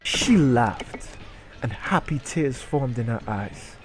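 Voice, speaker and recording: A man's voice: medium pitch, animated, takes it slowly, faint music behind. A man doing a mocking dramatic reading with asides, sarcastic, profane and exclamatory. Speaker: streamer reading aloud.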